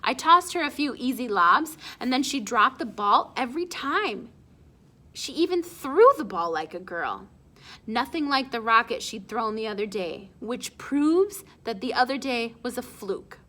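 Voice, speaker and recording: A woman reading a children's chapter book aloud in an expressive storytelling style. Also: fairly high pitch, a little slow. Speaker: storybook read-aloud reader.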